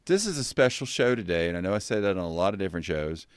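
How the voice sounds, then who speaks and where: A man's voice: low-pitched, expressive, quick pace, smooth, dry recording, studio quality. A man hosting a tourism podcast over a video call, asking questions in a hesitant, self-correcting way. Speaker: podcast host.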